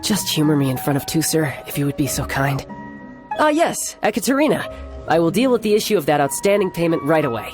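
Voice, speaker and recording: Breezy, lively young man. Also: a little high, fairly quick. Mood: polite. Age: young adult. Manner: breezy, politely teasing, self-assured. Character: breezy, smooth-talking young gentleman, doting older brother. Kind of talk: scripted video game character acting. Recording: music behind the voice. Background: game music.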